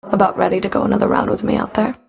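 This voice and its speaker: Creaky cool underworld fury for a video game, dry sarcasm, clipped and stern. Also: female voice, mid-range voice, little pitch movement, very fast, a little mellow, soft background music. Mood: dry, teasing. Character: stern, sardonic Fury, underworld enforcer with a whip. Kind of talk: video game character voice acting.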